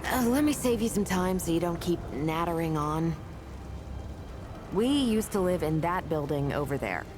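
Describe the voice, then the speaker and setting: An actress playing a gruff, prickly weapons tinkerer, an impatient loner in a video game, with blunt sardonic delivery. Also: female voice, lowish voice, animated, normal speed, over music, natural voice. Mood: irritated, brusque. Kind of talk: video game character dialogue.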